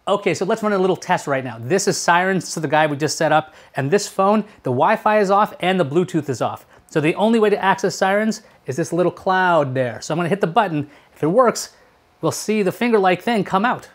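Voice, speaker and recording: A man's voice: high voice, animated, fairly quick. A man in his thirties presents a tech product review in a casual, talkative way. He explains things step by step and puts rhetorical questions to the viewer. He is recorded indoors in a small room at home, a short distance from the mic. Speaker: tech show host.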